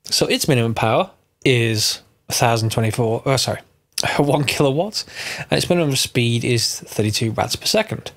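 A man's voice: medium pitch, animated, moderate pace, fairly bright tone. A man with a stuffy, bunged-up voice from a cold, casually narrating a game mod tutorial, thinking aloud and correcting himself as he goes. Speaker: Minecraft mod tutorial host.

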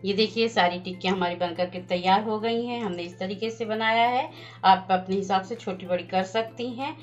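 A woman hosting a home cooking channel, calmly explaining each step of a recipe as she goes. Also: medium pitch, moderate pace. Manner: plain, instructive, walks through each step. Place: home kitchen at the stove. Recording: slightly dull lo-fi sound with faint music.

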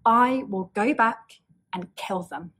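A woman's voice: medium pitch, slow pace, a bit bright, slightly rough. A woman voicing a blustering, easily frightened male leader of thieves in a children's storybook reading. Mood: defiant. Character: terrified male thief leader, gruff and blustering.

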